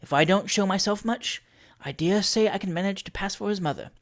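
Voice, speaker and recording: A narrator acting out a rich lady of forty in a period storybook. She is cool and scheming, speaking quietly in a measured, dry, imperious way. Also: mid-range voice, lightly acted.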